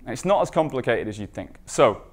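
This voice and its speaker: A man's voice: medium pitch, big pitch swings, a little fast. A man presenting as a conference speaker, informal and emphatic, often posing rhetorical questions. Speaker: conference speaker, recruitment marketing expert.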